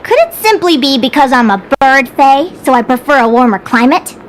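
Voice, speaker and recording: A woman voicing a bright, curious, earnest bird fae girl in a video game, speaking articulately like a storyteller. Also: high-pitched, expressive, moderate pace, slight background noise. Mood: wistful, curious. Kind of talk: scripted video game voice acting.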